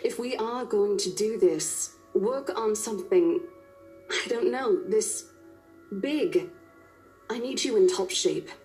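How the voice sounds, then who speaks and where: A woman's voice: medium pitch, slow, darkish tone, soft background music, lightly acted. A woman voicing a caring, firm young estate agent in a visual novel game, earnest and insistent as she coaxes a coworker. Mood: concerned, firm.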